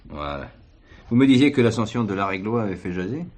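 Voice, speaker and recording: Friendly nosy tourist in a play, lowish voice, polite n casual, bit of noise. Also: male voice, big pitch swings, brisk, darkish tone. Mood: curious. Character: affable, inquisitive city tourist. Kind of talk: French stage play dialogue.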